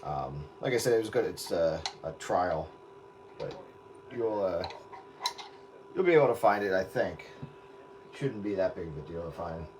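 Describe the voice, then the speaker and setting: A man's voice: low voice, animated, normal speed, pauses often, noisy background. A man hosting an informal online get-together, chatting casually and explaining things in an informal way. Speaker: host of an online radio round-up night. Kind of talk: casual chat.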